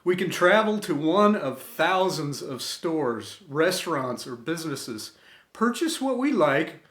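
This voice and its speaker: Slow, earnest man in an echoey room. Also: a little high, very animated, fairly bright tone. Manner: earnest, deliberate, phrase-by-phrase delivery with pauses. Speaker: storyteller and host of an inspirational series. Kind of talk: scripted inspirational tribute reading.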